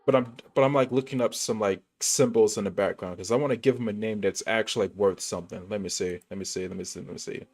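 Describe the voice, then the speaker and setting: A man's voice: fairly low pitch, fast talker, few pauses. Laid-back young guy streaming a game. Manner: rambling, lots of "like" and restarts, laid-back. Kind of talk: casual gameplay commentary. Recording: stream with faint game music.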